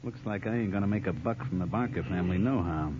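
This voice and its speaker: A muffled, noisy vintage radio recording carries an actor as a slangy American private detective. He is a brash, money-hungry man who talks fast and breezily, in a lowish voice. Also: natural voice. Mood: smooth-talking, familiar. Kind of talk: 1940s-50s radio crime drama acting.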